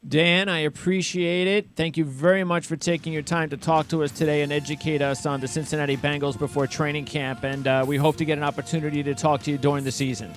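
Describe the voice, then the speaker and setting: A man hosting a sports radio show in a casual, halting, rambling way, often repeating words as he goes. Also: fairly high pitch, flat delivery, faint music behind. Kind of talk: casual interview and show patter.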